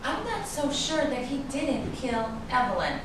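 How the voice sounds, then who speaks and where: A woman's voice: high voice, animated, unhurried. An actress playing an anxious, worn-down woman afraid of her volatile lover in a staged play reading. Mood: upset, fearful. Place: echoey indoor room at a staged reading. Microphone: distant microphone. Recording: distant echoey room, clearly noisy.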